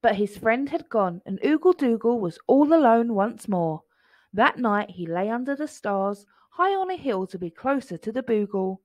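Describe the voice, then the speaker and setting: A woman reads a storybook aloud for children. Her voice is fairly high and very animated, recorded close and dry. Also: normal speed, no echo.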